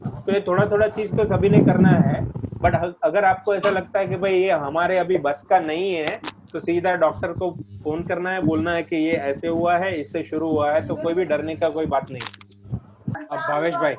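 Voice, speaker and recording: A man's voice: fairly high pitch, steady tone, moderate pace. A man leading a group call, giving informal, reassuring advice and explaining step by step, often repeating his points. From India. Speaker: host of a group conference call. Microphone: conference call line. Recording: muffled conference call with heavy background noise.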